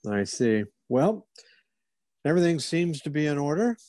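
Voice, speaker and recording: A man's voice: fairly high pitch, big pitch swings, normal speed, dark tone, no room sound, studio quality. A man playing a courteous, inquisitive police officer who muses and probes politely, in old-time radio theater style. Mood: probing. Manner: polite, musing, probing.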